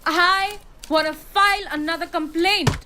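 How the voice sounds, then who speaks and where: A young woman acting an anguished, stubborn young factory worker in a high school radio drama. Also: steady tone, unhurried, noisy background. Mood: insistent.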